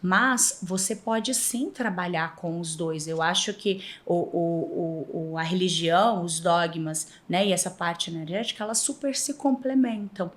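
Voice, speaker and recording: A woman from Minas Gerais with a Mineiro accent, a podcast guest telling lively stories and often laughing. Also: lowish voice, unhurried, hardly any pauses. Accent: Mineiro Brazilian Portuguese. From Minas Gerais, Brazil. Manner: animated, laughs often, acts out past dialogue. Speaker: podcast guest, former flight attendant. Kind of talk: casual interview storytelling.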